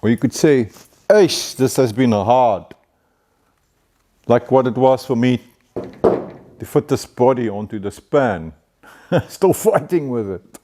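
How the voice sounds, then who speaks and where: A middle-aged South African man with an Afrikaans accent talks through his car-building vlog in a relaxed, chatty way. He chuckles often and stumbles over a word now and then. His voice sits at an ordinary middle pitch. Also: slow pace, pauses often, a little hiss or hum. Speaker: car builder hosting a community show. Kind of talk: casual vlog chat.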